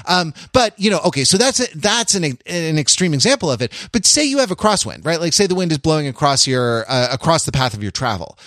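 A man hosting a casual discussion podcast, explaining things at length in a halting, backtracking, wry way. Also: on the high side, big pitch swings, fairly bright tone, no room sound, clean studio sound. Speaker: podcast host.

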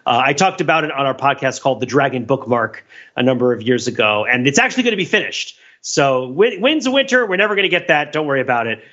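A man's voice: medium pitch, big pitch swings, fast, fairly bright tone, dull audio. A man co-hosting a podcast, talking casually about pop culture in an enthusiastic, geeky way. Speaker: podcast co-host.

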